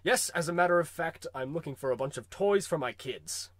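A man acts out a pompous, well-off family man for a radio comedy. His voice is fairly high for a man, curt and boastful, in a dry recording. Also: expressive, fairly quick, a bit bright, dry recording. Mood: smug. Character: smug, brusque, prosperous father and rival merchant. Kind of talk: comic radio play acting.

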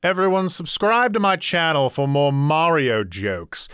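A man's voice: on the high side, sing-song, unhurried, fairly bright tone, clear, resonant tone, dry recording, studio quality. A man improvising a comedic cartoon dub in a theatrical, exclamatory manner. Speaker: comedy dubber, channel host.

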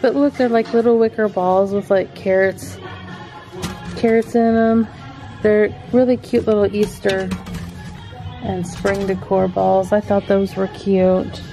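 Lady slowly chatting thru a decor store on her camera, soft music in the background. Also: mid-range voice, fairly even intonation, low-quality recording. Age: middle-aged. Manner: chatty, gushing over cute items. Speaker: vlogger. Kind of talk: shopping vlog walkthrough. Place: indoor home decor store. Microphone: handheld camera mic.

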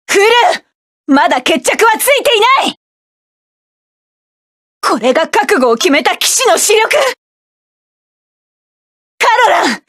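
A proud young woman pilot barks sharp, defiant commands in battle in a game. Her voice sits very high, and the studio recording is close and completely dry, with no room sound. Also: no echo, heavily acted. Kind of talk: game character voice lines.

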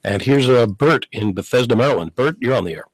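A middle-aged man hosting a call-in radio show from a studio, briskly introducing callers and reading emails. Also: medium pitch, lively intonation, slight background noise, rough recording. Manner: brisk, guiding, takes callers. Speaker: talk show host. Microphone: studio mic, headphones.

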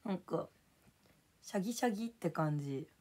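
Young female streamer, voice hoarse, casual chatty delivery, reads viewer names out between topics. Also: fairly low pitch, animated, normal speed, darkish tone. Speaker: livestreamer. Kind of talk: casual live stream chat, thanking viewers for gifts.

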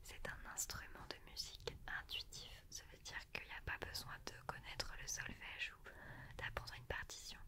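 A young adult woman, whispering casually as an ASMR creator and guest. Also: moderate pace. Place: small indoor studio with sofa. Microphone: shared desktop condenser mic, close.

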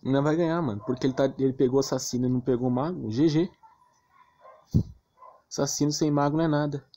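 A man's voice: mid-range voice, fairly even intonation, fast, warm tone. A man with a Brazilian accent giving casual, slangy, grumbling commentary on his own gameplay, with some swearing. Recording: dull lo-fi mic with clear background noise.

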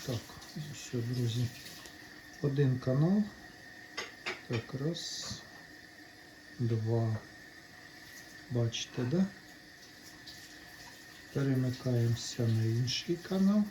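Man, hobby channel host, mid-pitched, unhurried delivery, casual demonstration, short friendly-address remarks, faint noise behind. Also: expressive. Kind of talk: casual hands-on demonstration vlog.

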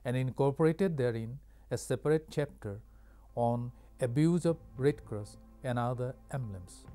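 An Indian-accented man in his sixties delivering a scripted lecture in a formal, measured way with halting phrasing. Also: medium pitch, dark tone, faint music behind. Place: studio with curtain backdrop. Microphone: lapel mic.

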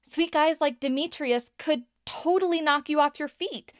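Woman playing an earnest, high-voiced young friend. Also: normal speed, no room sound, studio quality, natural voice. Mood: persuasive. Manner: eager, insistent. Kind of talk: modern audio drama acting.

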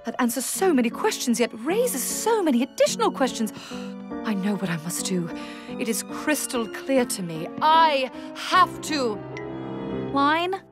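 An actress playing a bossy, scatterbrained cartoon amateur thespian who gushes theatrically. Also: female voice, on the high side, very animated, moderate pace. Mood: melodramatic. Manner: overdramatic, gushing. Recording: music behind the voice.

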